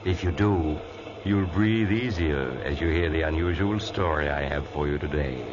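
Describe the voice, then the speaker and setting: The sinister Mysterious Traveler. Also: male voice, a bass voice, moderate pace, crowd noise. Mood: insinuating. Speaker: narrator of a radio drama. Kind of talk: 1940s radio acting. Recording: muffled old radio broadcast with music.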